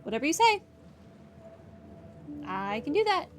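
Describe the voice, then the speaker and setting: A woman's voice: high-pitched, very animated, a little slow, a little hiss or hum. A woman giving casual commentary as she plays through a video game. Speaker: gaming streamer. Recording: game music behind the voice. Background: game music.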